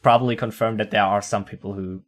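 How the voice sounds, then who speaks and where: A man's voice: fairly low pitch, fairly even intonation, quick pace. A man hosting a casual podcast interview, chatty, leaning on "like" and laughing often. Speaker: podcast host.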